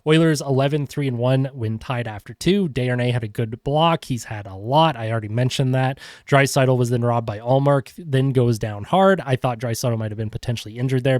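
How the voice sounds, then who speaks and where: A man with a congested cold, hosting a podcast and running quickly through a post-game hockey recap with frequent fillers. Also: medium pitch, darkish tone, no echo, clean studio sound. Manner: quick play-by-play rundown with fillers. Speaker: podcast host.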